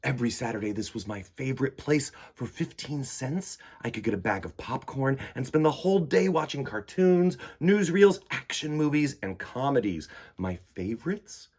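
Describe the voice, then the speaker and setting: A man's voice: mid-range voice, expressive, normal speed, few pauses, a little mellow, husky. A man reading a children's picture book aloud expressively, with dramatic delivery and conversational asides. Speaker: storytime host reading aloud.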